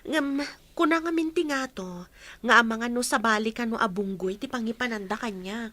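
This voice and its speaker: A woman plays a respectful junior health worker in an Ilocano radio drama. Her voice sits slightly high and carries an Ilocano accent. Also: moderate pace, a bit bright, natural voice. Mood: wistful. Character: deferential female junior medical mission worker.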